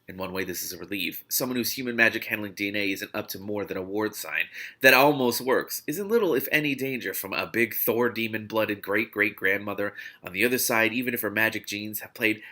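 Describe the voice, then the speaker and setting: A man reading a novel aloud expressively, in an informal, animated way that leaves room for his own reactions. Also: lowish voice, fairly quick. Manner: animated, informal, breaks off to comment. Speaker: reader and host of a read-along series.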